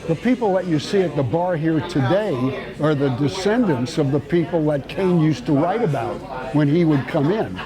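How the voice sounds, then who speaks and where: A man telling stories for a documentary in a deliberate way, repeating phrases for emphasis. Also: fairly high pitch, lively intonation, music in the background. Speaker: documentary storyteller. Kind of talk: documentary storytelling.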